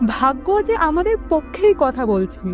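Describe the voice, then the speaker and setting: A woman's voice: high voice, sing-song, moderate pace, faint music behind. A woman voicing an ambitious, wicked queen in a fairy-tale cartoon, haughty and sly in a theatrical way. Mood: gloating, sly.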